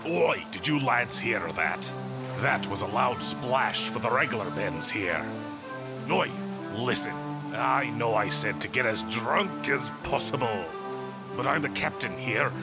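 A man playing a cocky, flirtatious pirate captain with a gravelly, unhurried voice. He swaggers through his lines with easy laughter, over background music. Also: mid-range voice, animated. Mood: commanding. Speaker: audio drama voice actor. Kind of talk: audio roleplay performance.

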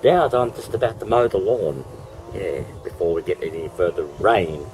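A middle-aged Australian man with a hoarse voice, chatting casually to camera in a home vlog and laughing often between remarks. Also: sits low, expressive, normal speed, a little mellow, a little hiss or hum, poor audio. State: hoarse, losing his voice. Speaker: vlogger. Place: home, indoors and in a backyard. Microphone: camera mic.

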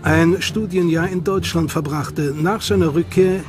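A man giving measured, serious scripted narration for a documentary. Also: on the high side, steady tone. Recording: music bed under voice.

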